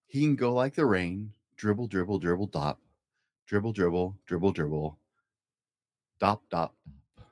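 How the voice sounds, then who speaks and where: A man reading a rhyming children's picture book aloud in a playful, expressive way, as a storytime host. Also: low voice, a little slow, long pauses, dry recording. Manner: playful, acts out animal and object sound effects.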